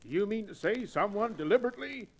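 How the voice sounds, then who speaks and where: An actor playing a blustery old reprobate cowboy sidekick with pompous, florid diction in an old-time radio Western. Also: male voice, high-pitched, animated, fast, gravelly, exaggerated voice. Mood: puzzled indignation. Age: older. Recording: noisy vintage radio drama recording.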